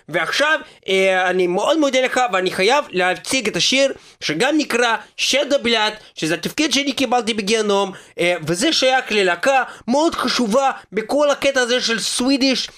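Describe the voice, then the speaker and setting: A man playing a ranting Russian immigrant who has just been made a demon, with a Russian accent and a shouting, cursing delivery. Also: very high voice, expressive, hardly any pauses. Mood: polite.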